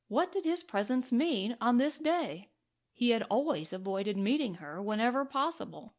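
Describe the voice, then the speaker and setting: A woman reading a western novel aloud as scripted audiobook narration. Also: a little high.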